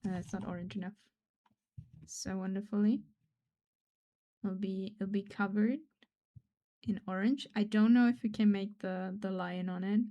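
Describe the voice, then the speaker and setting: Woman streamer, german first language, rambly and self-deprecating, mumbles quiet while drawing, mid pitch. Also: fairly even intonation, unhurried, pauses often, highs cut off. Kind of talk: casual live-stream chat while drawing.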